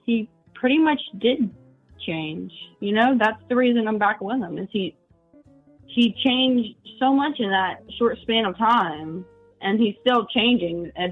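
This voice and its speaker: A teenage woman calls in over a tinny, phone-like line with faint music behind. She talks earnestly and openly and giggles now and then. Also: medium pitch. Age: teens. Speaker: caller. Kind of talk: casual phone call.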